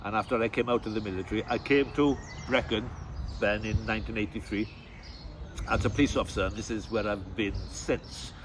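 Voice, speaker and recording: A man's voice: on the low side, fairly even intonation, background noise. A Welsh man in his sixties, a local guide and teacher, speaking in an interview with frequent fillers and restarts. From West Wales. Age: 60s. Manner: halting, many fillers and restarts. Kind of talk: interview monologue.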